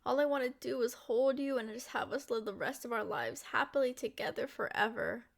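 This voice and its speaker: A woman playing a centuries-old but young-looking vampire girlfriend in an ASMR roleplay, talking sweetly and affectionately to the listener in an expressive way. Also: a little high, brisk, gravelly. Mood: tender, loving.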